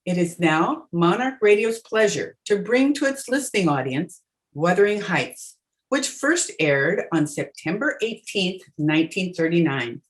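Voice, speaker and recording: A woman's voice: on the low side, sing-song, measured pace, rough recording. A woman hosting, reading a scripted introduction to a radio drama. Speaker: host.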